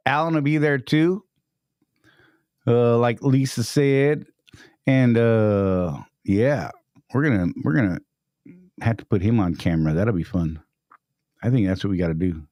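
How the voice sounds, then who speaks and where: A man's voice: lowish voice, darkish tone, no echo, studio quality. A man hosting a casual live stream, upbeat and greeting chat viewers by name.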